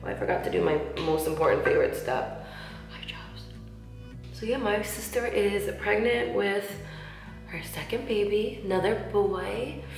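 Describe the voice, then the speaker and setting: A woman's voice: medium pitch, normal speed, creaky. Chatty woman in an echoey bathroom. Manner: chatty, lots of "like", soft asides while applying makeup. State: slightly nasal, getting over a cold. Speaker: beauty vlogger. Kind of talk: casual makeup tutorial chat. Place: large tiled bathroom, indoors. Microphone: camera mic at close range, seated facing camera. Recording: echoey bathroom with faint music.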